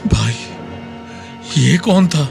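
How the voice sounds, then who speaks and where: A man voicing a rough, confrontational street goon in a Hindi audio story. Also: fairly high pitch, animated, drawn-out words, music in the background, heavily acted. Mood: shaken. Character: aggressive street goon, blustering then cowed.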